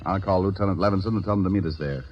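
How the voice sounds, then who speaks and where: A hard-boiled American private detective from old radio drama. His voice sits low and he barks brusque, commanding lines. The recording is vintage and limited in band. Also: very fast, husky, lo-fi sound. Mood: urgent, controlled. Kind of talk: 1940s-50s radio detective acting with narration.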